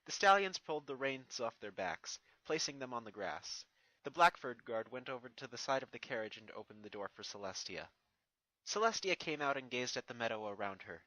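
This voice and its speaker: Bright, dry-miked man reading fan fiction. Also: mid-range voice, lively intonation, a little fast, dry recording, poor audio. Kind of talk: casual introduction and narrated story reading.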